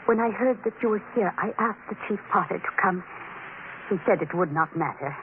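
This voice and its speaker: An actress playing a gentle, fearful young captive woman in an old-time radio drama, speaking earnestly and with resignation. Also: mid-range voice, brisk, close to an everyday voice. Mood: resigned, fearful. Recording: noisy, tinny old radio recording.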